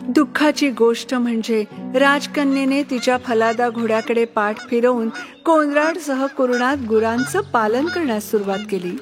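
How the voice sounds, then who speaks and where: A woman's voice: fairly high pitch, normal speed, few pauses, music in the background. A woman narrator reading a scripted fairy tale for children.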